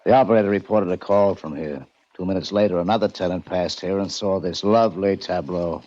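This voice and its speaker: An actor playing a gruff, sarcastic police lieutenant in a 1940s radio drama, brusque and needling. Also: male voice, low-pitched, a little fast, mellow, lightly acted. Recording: muffled 1940s radio transcription.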